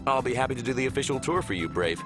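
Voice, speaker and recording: A man's voice: mid-range voice, lively intonation, racing, lightly acted. A man voicing a friendly young male spaceship captain in a visual novel game. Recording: game music over the voice. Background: video game music.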